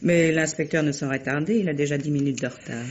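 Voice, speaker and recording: A woman playing a composed, dryly sharp novelist and widow in a mystery radio play, measured and pointed. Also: low-pitched. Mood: cool, pointed. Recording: dull, noisy vintage-style radio recording.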